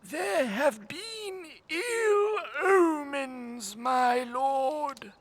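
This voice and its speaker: Fantasy audio drama: ancient head priest, high-pitched, extremely slow, obsequious, quavering, insinuating. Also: female voice, expressive, a little hiss or hum, heavily acted. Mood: ominous deference. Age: older. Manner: halting, quavering, deferential.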